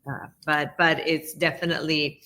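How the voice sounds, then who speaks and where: A woman from Colombia chatting as a podcast guest, friendly and loose, with plenty of ums and uhs. Her voice is very low for a woman yet has a bright, sharp edge. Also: level tone, moderate pace, a little hiss or hum. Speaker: real estate agent, interview guest. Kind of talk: casual interview chat.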